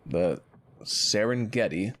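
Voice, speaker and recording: A man acting a brooding, guarded doctor in an audio drama, with a dry, terse, deadpan delivery. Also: on the low side, slow. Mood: dry, cutting. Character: brooding male doctor, guarded, dryly cutting.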